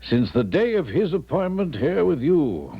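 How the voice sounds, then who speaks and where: An actor playing an insistent, stuffy middle-aged father in a 1970s radio drama, formal and pressing with hesitant pauses. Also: fairly high pitch, big pitch swings, slightly rough, full character voice. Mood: polite, uneasy. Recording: dull 1970s radio broadcast recording.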